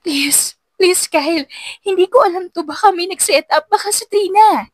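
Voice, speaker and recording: A woman's voice: very high-pitched, normal speed, dry recording, pitched above the performer's own voice. A woman voicing a heartbroken young woman, tearful and pleading, in an audiobook romance melodrama. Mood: desperate pleading. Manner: pleading, emotional.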